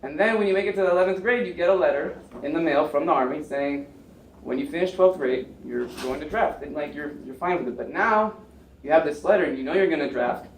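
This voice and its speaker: A man's voice: a little high, fast. A young American man on a panel, telling his story casually with many fillers and restarts. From United States. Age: young adult. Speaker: panel speaker. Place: lecture room. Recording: echoey room, mic far off, faint noise.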